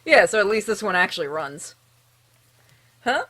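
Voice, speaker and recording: A woman reading a visual novel game's narration aloud in an expressive storytelling way, with casual, dryly humorous asides. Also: medium pitch, moderate pace. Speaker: streamer playing and narrating a game.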